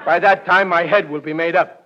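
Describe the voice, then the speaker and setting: An actor playing a sarcastic, easily exasperated working-class husband who gripes and wisecracks in a 1940s radio sitcom. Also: male voice, on the high side, fairly even intonation, a little fast, pushed and bright, a little hiss or hum. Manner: griping, wisecracking, ungrammatical everyday speech. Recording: dull, lo-fi vintage radio recording.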